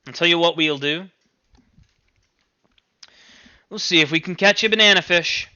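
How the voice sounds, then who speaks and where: A man's voice: a little high, fast, sharp tone, smooth, lo-fi sound, voice pushed up. A man voicing a whimsical, teasing young man in a story, with playful mock-serious emphasis.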